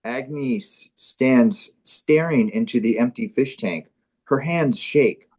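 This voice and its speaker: Man, deadpan comedy performer, casual banter and script reading, average pitch. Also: slow.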